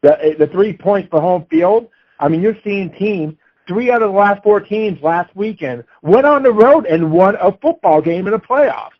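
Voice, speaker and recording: Lively higher-voiced male sports betting analyst. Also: normal speed, highs cut off. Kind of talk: unscripted sports talk and analysis.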